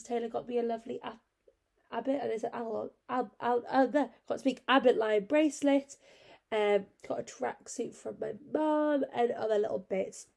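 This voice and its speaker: A woman's voice: on the high side, vocal fry, mellow. A young woman hosting her podcast from her bed, stuffed up with a cold and chatting in a rambling, casual way. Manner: chatty and rambling, with frequent fillers. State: congested with a cold. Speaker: podcast host. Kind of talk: casual solo podcast chat. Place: at home, in bed.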